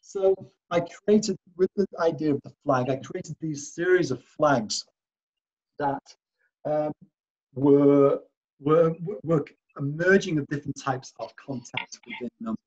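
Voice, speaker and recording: A man's voice: fairly high pitch, takes it slowly, darkish tone, dull audio. A man giving an artist talk over slides, hesitant and full of restarts and fillers. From Wales.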